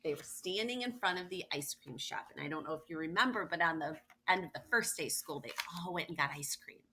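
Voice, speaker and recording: A woman's voice: fairly low pitch, lively intonation, brisk, sharp tone, a little hiss or hum. A woman reading a children's picture book aloud expressively, stressing words for young listeners. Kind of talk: expressive read-aloud storytelling with asides.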